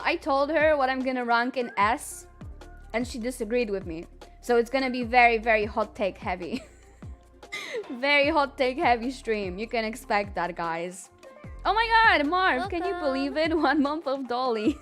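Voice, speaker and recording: A woman's voice: high voice, a bit bright. A young woman streaming live, chatting casually with viewers, laughing often and talking earnestly about her rankings.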